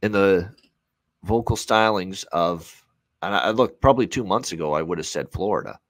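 A man's voice: low voice, normal speed, a bit bright, no room sound. A man in his thirties giving unscripted sports betting commentary as an analyst, emphatic and repeating his points. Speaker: sports betting analyst on a hockey preview show. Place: small home room, indoors, on a video call.

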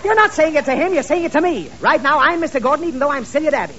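A man's voice: extremely high pitch, lively intonation, racing, fairly bright tone. A man playing a flustered, exasperated father in a 1930s radio comedy, fussy and stammering, anxious to please. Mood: fussy, instructing. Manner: fussy, stammering, easily irritated. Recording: dull, noisy 1930s radio recording.

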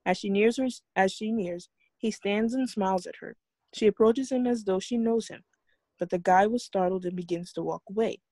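Woman, script narrator, table read, mellow tone, medium pitch, dry sound. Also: no room sound. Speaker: stage directions reader. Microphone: video call.

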